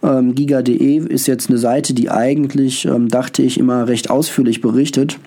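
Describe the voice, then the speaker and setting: A man talks through his solo podcast in a loose, unscripted way, stalling on filler sounds and restarting his sentences. He has a cold, and now and then a cough breaks in. His voice sits at an ordinary pitch with a soft, mellow tone. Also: fairly even intonation, moderate pace. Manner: hesitant, many filler sounds, loose rambling. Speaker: podcast host. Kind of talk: casual solo podcast monologue.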